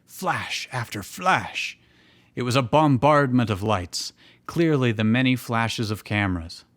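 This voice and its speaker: A man's voice: medium pitch, expressive, a little slow, a bit bright. A man reading fan fiction aloud as a show host, often breaking off to joke and comment in casual banter. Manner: joking, breaks off to comment on the text.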